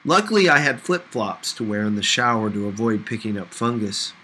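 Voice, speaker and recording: A man reading a novel aloud as expressive scripted narration. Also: fairly low pitch, a little fast, a little hiss or hum, low-quality recording. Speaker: audiobook reader.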